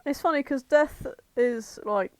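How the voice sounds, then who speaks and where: A woman's voice: high voice, unhurried, darkish tone, dry recording. A woman co-hosting a podcast in casual chat, blunt, giggly and sweary. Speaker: podcast co-host.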